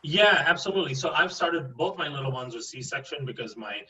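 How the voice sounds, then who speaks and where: A man's voice: on the high side, lively intonation, a little fast, bright, forceful tone, recorded from a distance, low-quality recording. A man from India, a microbiologist guest, explaining at length in an interview and often tagging sentences with "right?". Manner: explanatory, asks rhetorical "right?". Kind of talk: interview answers.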